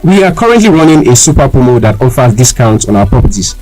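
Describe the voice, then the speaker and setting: A man's voice: mid-range voice, sing-song, fast talker, a little mellow, lightly acted, low-quality recording. A man with a Nigerian accent speaking as a company spokesman in a scripted, formal radio promotion. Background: music.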